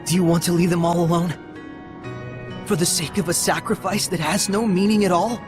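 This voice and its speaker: An actor playing an earnest, compassionate young hero in a video game, with a persuasive way of speaking. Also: male voice, high-pitched, steady tone, fairly quick, close to an everyday voice. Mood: gentle, earnest. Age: young adult. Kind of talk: dramatic video game voice acting. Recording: music behind the voice.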